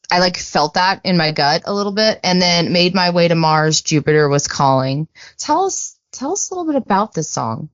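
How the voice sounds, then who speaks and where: A woman's voice: fairly low pitch, no room sound. A woman hosting a podcast, chatty and enthusiastic as she quotes lyrics and asks about a song. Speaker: podcast host. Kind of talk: interview questions. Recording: dull, dry podcast audio.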